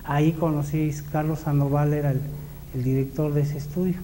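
A man's voice: fairly high pitch, level tone, a little fast, dark tone, poor audio. A middle-aged man with a Mexican accent, reminiscing in a documentary interview on a lapel mic indoors. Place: indoor studio room at a drawing desk.

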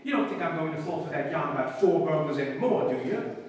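An exasperated, soft-hearted father, played by a man, questions sternly and stammers in old-time radio comedy style. His pitch swings widely. He sounds far off in a very echoey hall with faint noise. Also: expressive, quick pace, natural voice. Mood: stern, flustered. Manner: stern, flustered, stammering interrogation. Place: small auditorium stage, audience in dark. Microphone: far camera.

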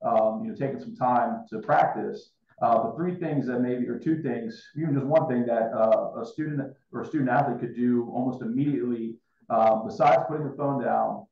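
A man's voice: mid-range voice, fairly even intonation, normal speed, gravelly. A man in his thirties chatting casually as an interview host on a video call. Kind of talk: casual chat. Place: indoor room, on a video call. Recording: roomy, dull video call audio.

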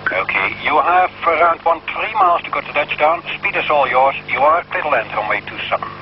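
A man's voice: fairly high pitch, lively intonation, fairly quick. Male air traffic controller, Dutch accent, clipped procedural phrasing, repeated figures, muffled radio tape, heavy noise. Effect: aviation radio.

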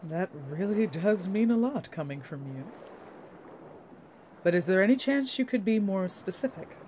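Woman as measured, scholarly fantasy heroine. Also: medium pitch, animated, darkish tone, slight background noise, lightly acted. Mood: sincere. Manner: measured, articulate, formal. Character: thoughtful, reasoned young fantasy-world woman, formal, kind.

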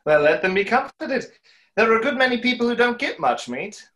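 A man's voice: very high voice, big pitch swings, a little fast, a bit bright, exaggerated voice. A young man playing a suave, wry, boastful conjurer in a play reading over a video call. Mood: dry, wry. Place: room at home, video call.

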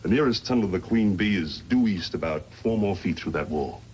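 An actor playing a tough, shrewd foreman of a mining crew in a 1950s radio western, speaking gruffly and bluntly. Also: deep voice, expressive, brisk, darkish tone. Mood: businesslike. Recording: noisy vintage 1950s radio recording.

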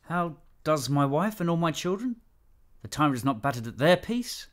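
A man's voice: on the high side, big pitch swings, normal speed. An actor playing a proud, passionate nobleman in a declamatory classical radio drama style. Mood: anxious. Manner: declamatory, impassioned delivery. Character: Macduff, proud Scottish nobleman stricken by grief and vengeance.

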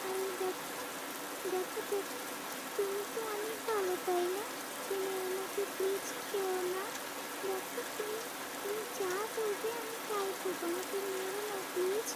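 Cartoon little girl played by a woman: stubborn, childlike, very high voice, coaxing, with rain clearly behind. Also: level tone, unhurried, heavy background noise. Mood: frightened pleading. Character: stubborn, pleading young village girl who loves rain. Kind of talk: cartoon voice acting. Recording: rain sounds over voice.

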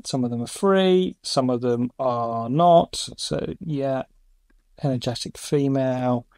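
A middle-aged man hosting a casual screen-recorded review, enthusiastic, with quick asides between demos. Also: medium pitch, darkish tone, no echo, studio quality. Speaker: YouTube host reviewing AI voice tools.

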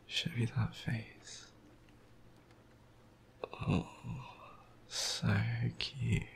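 A young man speaks in a hushed, heavily gravelly whisper, worn out and croaky. His voice sits low and his delivery is slow and drawn out, murmured close to the microphone. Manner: soft, affectionate whispering with quiet laughs. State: tired. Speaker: ASMR boyfriend roleplayer. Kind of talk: ASMR roleplay.

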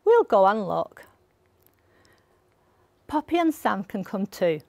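A woman's voice: medium pitch, very animated, takes it slowly, vocal fry, a little mellow, no room sound, full character voice. A woman voicing a practical, take-charge farmer mother in a children's storybook, with an emphatic, encouraging manner. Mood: concerned, decisive. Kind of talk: children's storybook read aloud.

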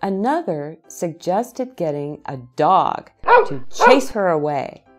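A middle-aged woman hosting a children's storytime, reading aloud in a deliberate way and speaking directly to young listeners. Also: fairly low pitch, sing-song, soft background music. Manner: deliberate phrasing, addressing child listeners with questions. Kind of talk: slow, animated picture-book read-aloud for young children. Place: small indoor set with bookshelves, seated in an armchair.

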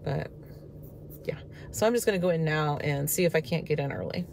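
A woman in her fifties rambles cheerfully to a dash-mounted phone while driving, laughing between asides. Her voice sits a little low and sounds slightly stuffy from a cold. Steady car and road noise runs clearly underneath. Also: brisk. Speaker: vlogger. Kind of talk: casual chatty vlog. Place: inside a parked and moving car. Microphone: phone camera on the dashboard. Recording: car noise audible behind voice.

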